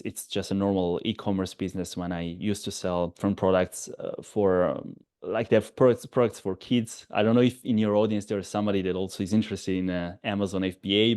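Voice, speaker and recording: Low-voiced Italian man, halting speech. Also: darkish tone. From Italy, Sardinia. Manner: halting, frequent restarts, laughs easily. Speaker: guest investor. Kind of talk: podcast interview.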